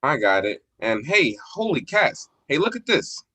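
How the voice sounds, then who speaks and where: A man's voice: a little high, big pitch swings, a little slow, bright, forceful tone, husky, heavily acted. Man playing a brash, slangy radio cop. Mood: excited. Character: brash, slangy male police officer. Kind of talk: old-time radio drama acting.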